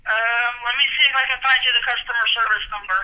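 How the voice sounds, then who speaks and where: A woman's voice: fairly high pitch, fairly even intonation, fast, sharp tone. A woman working as an assistant store manager, firm, polite and insistent in a customer service phone conversation. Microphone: telephone. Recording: noisy telephone line.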